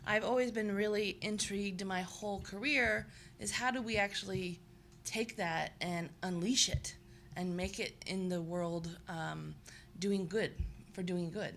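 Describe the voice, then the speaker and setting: A woman's voice: medium pitch, steady tone, measured pace, a bit bright, slight background noise. A woman from rural Nebraska answering interview questions about her development work in a thoughtful, earnest way. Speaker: guest, development worker.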